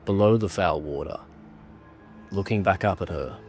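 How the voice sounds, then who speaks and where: A man narrating a scripted horror story in a measured, dramatic manner. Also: deep voice, darkish tone, soft background music.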